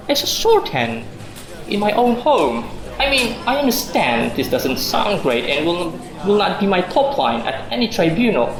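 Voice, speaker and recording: A man's voice: high-pitched, full character voice, poor audio. A man playing a sarcastic, defensive office worker husband in a radio drama, sardonic and emphatic. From Indonesia. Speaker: actor in a student radio drama.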